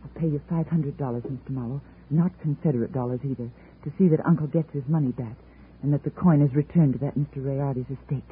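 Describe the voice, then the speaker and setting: A woman's voice: extremely low pitch, quick pace. An actress playing an earnest, proud young woman, the niece of an old collector, in a 1950s radio drama. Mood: pleading. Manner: earnest, flaring into indignation. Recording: tinny old radio broadcast, faint hiss.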